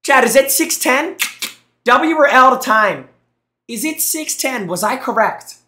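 Excitable young man streaming in a roomy echo. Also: very high-pitched, a little slow. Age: young adult. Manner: excitable, teasing, thanks chat between jokes. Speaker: streamer reacting to gameplay clips. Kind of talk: casual live-stream commentary. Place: small room indoors. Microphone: headset mic.

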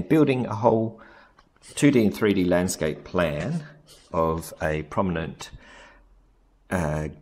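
Low husky man narrating a tutorial. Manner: relaxed, thinking aloud with many ums and self-corrections. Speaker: software tutorial presenter. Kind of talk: casual screen-recording tutorial.

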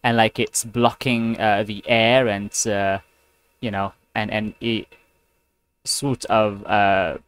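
Young man, online English tutor on a headset mic; unhurried, clear, patient delivery. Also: lowish voice, flat delivery, fairly bright tone, dry recording. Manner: slow, patient, rephrases and spells words for the student. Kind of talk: online one-on-one English class. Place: home room, video call. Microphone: gaming headset with boom mic.

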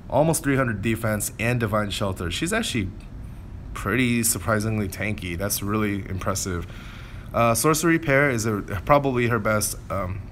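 A man with a fairly low voice hosts casual gameplay commentary. He talks informally, thinking aloud as he goes. Some background noise sits behind his voice. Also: fairly bright tone. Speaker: game content creator, host.

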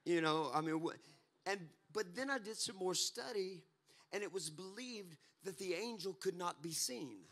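Pastor in his 30s, high voice, fiery sermon, handheld mic on a church stage. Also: expressive, moderate pace. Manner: fervent, rises to shouts, paces the stage. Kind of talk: energetic Pentecostal sermon. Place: church stage, mid-sized hall.

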